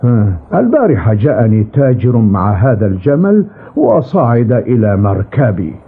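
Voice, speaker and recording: A man's voice: mid-range voice, moderate pace, breathy edge. An actor playing an old, testy and rueful ferryman telling his story in a dubbed cartoon. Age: older. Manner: expressive, grumbling, storytelling. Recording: muffled tinny cartoon soundtrack with music.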